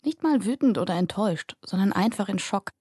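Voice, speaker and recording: A woman's voice: mid-range voice, animated, brisk, darkish tone, breathy edge, no room sound. A woman narrating a fantasy audiobook in a close, inward, present-tense storytelling manner.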